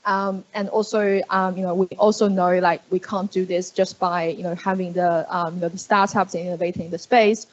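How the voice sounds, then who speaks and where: A woman's voice: flat delivery, fairly quick, hardly any pauses, highs cut off. A woman giving a conference presentation, speaking with many fillers and restarts. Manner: hesitant, many fillers, upbeat pitch. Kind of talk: conference talk. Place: conference room.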